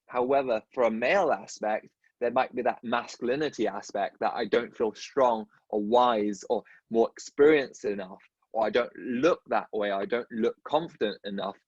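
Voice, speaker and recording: A male guest speaks conversationally, and his speech catches on repeated word starts. His voice is husky and dry, recorded with dull, muffled lo-fi sound and no room echo. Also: mid-range voice, moderate pace. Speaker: guest, dentist. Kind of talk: casual conversation.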